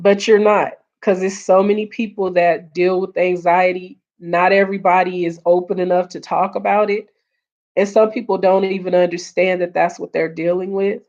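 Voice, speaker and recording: A woman hosting a casual live stream, earnest and repeating her points, greeting viewers, her voice getting hoarse. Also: fairly low pitch, a little mellow. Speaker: livestream host. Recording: dull lo-fi stream audio.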